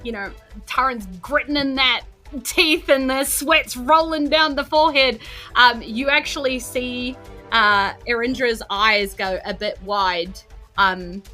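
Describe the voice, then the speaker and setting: Bright-voiced New woman game master. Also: on the high side, slow. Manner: conversational, improvising. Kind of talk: narrating a tabletop role-playing game. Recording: music behind the voice. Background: background music.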